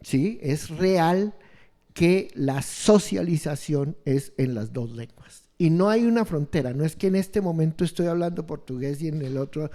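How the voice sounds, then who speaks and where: A man explaining ideas on education in an interview, halting and restarting often as he searches for words. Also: a little high, expressive, mellow. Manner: slow, halting, many restarts and fillers. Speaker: education expert guest.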